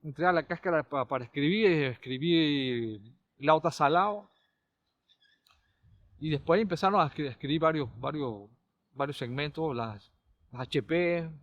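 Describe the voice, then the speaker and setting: A middle-aged Panamanian man, a caricaturist and comedy writer, recalling his TV work in a relaxed, rambling way with many restarts. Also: a little high, unhurried, pauses often, dry recording, muffled recording. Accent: Panamanian Spanish. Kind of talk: relaxed conversational storytelling.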